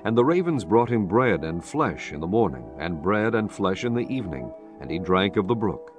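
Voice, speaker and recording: A man narrating a dramatized Bible from a script in a solemn, measured storytelling manner. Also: sits low, very animated, dull audio, music in the background.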